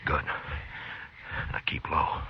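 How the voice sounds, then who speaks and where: An American man playing a terse, commanding US Marshal in the Old West in a 1950s radio western, with a gruff voice. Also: slow pace, in a whisper, natural voice. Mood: hushed, tense. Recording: muffled vintage radio recording.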